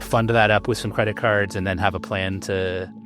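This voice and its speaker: A man's voice: on the low side, fairly even intonation, moderate pace, music in the background. Chatty man recapping business work. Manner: chatty, many fillers and restarts. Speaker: host. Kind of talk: casual business conversation.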